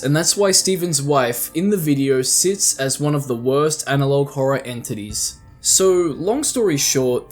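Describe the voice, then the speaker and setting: A man hosting a video essay, reading scripted commentary in a casual, slangy way. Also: mid-range voice. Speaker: YouTube host and commentator.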